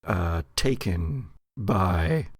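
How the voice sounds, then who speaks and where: A man hosting a tabletop game podcast as game master, mixing dramatic, deliberate narration with casual, dryly humorous chat. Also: low voice, animated, slightly rough, no room sound, clean studio sound. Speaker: host and game master of an actual play podcast.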